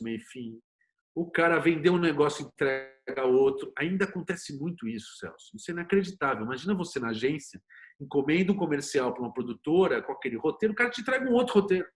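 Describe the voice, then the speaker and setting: A man's voice: fairly high pitch, fairly bright tone. A man with a Brazilian Portuguese accent talking casually and emphatically as a guest in an interview, in informal language. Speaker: interview guest, TV producer and screenwriter.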